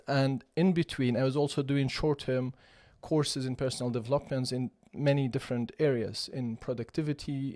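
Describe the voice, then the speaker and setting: A Kurdish-accented man in his forties talks through his background in a measured, reflective way. He pauses often and restarts phrases. His voice sits at an ordinary pitch in a dry, close-miked room. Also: darkish tone, no echo. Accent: Iraqi Kurdish. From Kurdistan region of Iraq. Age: 40s. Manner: thoughtful, with many fillers and restarts. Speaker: podcast guest, chief executive of a cultural centre. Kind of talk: conversational interview.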